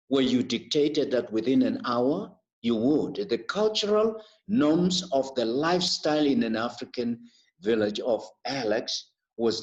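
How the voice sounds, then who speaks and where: A man speaking as a panellist in a webinar, slow and deliberate in his delivery. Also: mid-range voice, a little mellow. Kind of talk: webinar talk. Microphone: video call. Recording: muffled video call audio.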